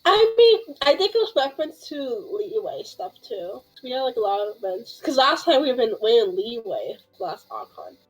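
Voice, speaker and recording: A woman's voice: fairly high pitch, very animated, normal speed, hardly any pauses, breathy edge, low-quality recording. A young adult woman in casual gameplay chat, rambling and reacting in scattered bursts. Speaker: gaming streamer.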